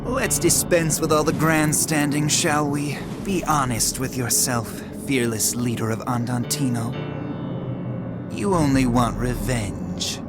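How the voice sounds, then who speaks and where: An actor playing a cold, power-hungry villain ruler in a video game cutscene, with theatrical, condescending, drawn-out mocking phrasing. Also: male voice, mid-range voice, close to an everyday voice. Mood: contemptuous. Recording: loud orchestral music behind the voice.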